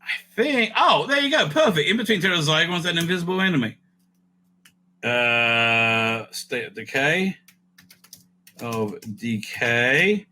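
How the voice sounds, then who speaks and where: A man with a very bright, forceful voice pitched a little high. He talks casually as a video host, thinking out loud as he ranks things. He often breaks off and restarts his sentences in a loose, rambling way. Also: expressive, a little slow. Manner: rambling, thinking aloud, self-interrupting. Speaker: video host ranking TV stories. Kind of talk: casual, off-the-cuff ranking commentary.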